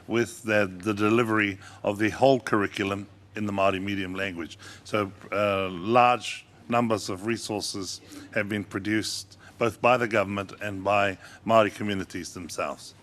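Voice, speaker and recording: A man's voice: lowish voice, fairly even intonation, normal speed, a bit bright. A man on a seminar panel explaining things steadily, with occasional uh fillers. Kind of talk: seminar answer.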